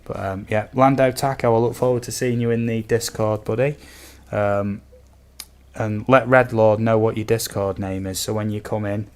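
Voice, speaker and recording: A man from the UK with a slightly croaky voice, chatting casually and easily to viewers as a livestream host. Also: fairly low pitch, fairly even intonation, normal speed, a little mellow. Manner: easygoing, chatty, addresses viewers as buddy and guys. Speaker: game streamer, host.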